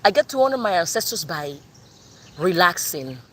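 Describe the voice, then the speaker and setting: A woman with a bright, forward voice gives a relaxed spiritual tarot reading. She speaks in a loose, colloquial way, addressing the listener directly, with a faint hiss of noise underneath. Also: lowish voice, moderate pace. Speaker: tarot reader. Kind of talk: spiritual collective reading, casual talk.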